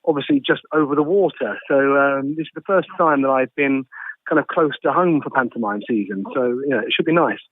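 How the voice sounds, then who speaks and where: A man's voice: medium pitch, a little fast, dry recording. A polite, well-spoken man from Kent, giving an informal interview as an actor over the telephone. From Isle of Sheppey, Kent, England. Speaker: pantomime actor being interviewed. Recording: dry, band-limited phone line.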